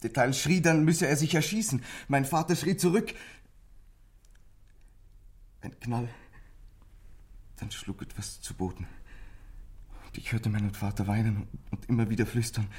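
An actor playing a troubled teenage boy in a German radio crime drama, bitter and frightened, with a husky, breathy voice and an intense, halting, confessional delivery. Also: medium pitch, fairly even intonation, lightly acted. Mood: distraught.

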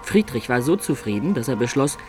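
A cunning adventurer in a radio play, acted by a man looks back on his own life. His voice is mid-pitched and reflective, a storyteller's delivery. Music is clearly heard behind it. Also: very animated, brisk, natural voice. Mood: measured recounting. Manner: reflective first-person storytelling. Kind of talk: radio drama narration.

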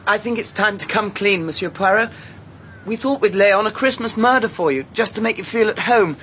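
A man's voice: high-pitched, fairly quick, bright, forceful tone, a little hiss or hum. A young male actor playing an eager, polite schoolboy prankster with a British accent in a radio drama. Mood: sheepish.

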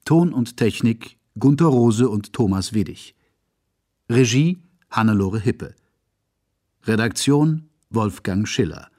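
Very slow-paced male radio announcer. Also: fairly low pitch, animated, darkish tone. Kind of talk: scripted credits announcement.

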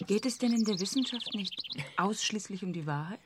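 Woman playing young radio play interviewer, cool and skeptical, mid pitch, noisy recording with birds chirping. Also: lively intonation, a little fast, close to an everyday voice. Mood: polite, probing. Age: young adult. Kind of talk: German radio drama acting.

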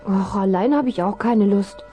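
A woman's voice: mid-range voice, moderate pace, dark tone, soft background music, close to an everyday voice. A woman playing a practical, earnest girl who loves horses, in a natural conversational way for a children's radio play. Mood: calm, then impatient. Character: Flora, horse-loving girl, practical, worried about her brother. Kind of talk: German children's radio play acting, 1970s.

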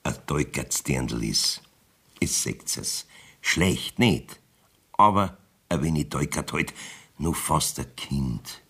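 A man's voice: a bass voice, lively intonation, takes it slowly, a bit bright, husky, full character voice. A man playing a wily rustic village judge in a dialect radio play, evasive and self-serving, with a Bavarian accent. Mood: coaxing, indulgent. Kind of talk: dialect radio drama acting.

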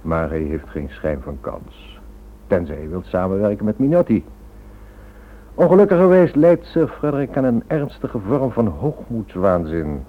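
A man playing a smug, cynical criminal schemer in a radio play, suave and mock-polite with quiet menace. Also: fairly low pitch, sing-song, normal speed. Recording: thin narrow-band old radio drama recording.

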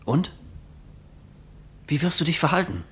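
An actor playing a loyal, worried young friend in a radio play, speaking quietly with concern. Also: male voice, a little high, lively intonation, measured pace.

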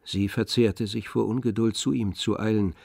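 A man playing the composed, observant narrator of a literary radio drama, with measured delivery. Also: on the low side, fairly even intonation, vocal fry, a little mellow, dry recording, studio quality.

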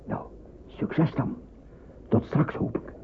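An actor playing a calm, resolute doctor who secretly rescues people in a wartime radio drama. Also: male voice, slow, natural voice. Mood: urgent, conspiratorial. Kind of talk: Dutch radio drama acting. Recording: tinny, band-limited old radio recording, faint noise.

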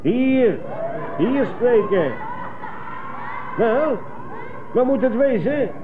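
An actor playing a curt, impatient post office clerk, asking loudly in a radio drama. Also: male voice, extremely high pitch, animated, crowd noise, heavily acted. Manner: curt, loud, drawn-out questioning. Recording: distant, dull, slightly noisy radio recording.

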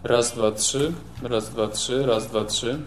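A man's voice: medium pitch, fairly even intonation, takes it slowly. A man chatting casually while doing microphone checks. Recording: roomy echo, loud music behind.

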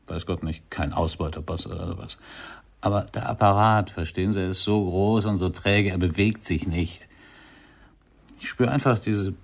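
An actor playing a weary, boozy young jazz cornettist in a radio play, rambling and confiding in broken phrases. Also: male voice, deep voice, darkish tone.

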